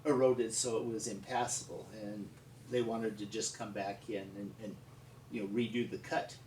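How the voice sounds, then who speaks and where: Low-voiced American man, echoey and distant. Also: steady tone, a little mellow. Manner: rambling, halting anecdotal delivery. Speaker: paleontologist and museum founder telling stories. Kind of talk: unscripted conversational storytelling. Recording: echoey, distant mic with background noise.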